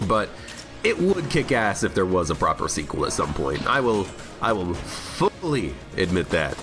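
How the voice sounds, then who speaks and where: A man's voice: sits low, sing-song, normal speed. A man with a cold and a slightly worn voice, rambling cheerfully as he streams himself playing a game. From United States. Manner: rambling, chatty, self-mocking humor. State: has a cold, voice a bit worn. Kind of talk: casual live gameplay commentary. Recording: game music loud under voice. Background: game music.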